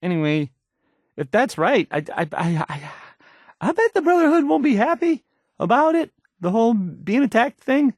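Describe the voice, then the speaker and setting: A man's voice: very high voice, very animated, a little mellow, dry recording, studio quality, full character voice. A man playing an awkward, unsure young radio DJ in a video game, stumbling hesitantly through news reports. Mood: nervous, doubtful. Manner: halting, stammering, hesitant, trailing off.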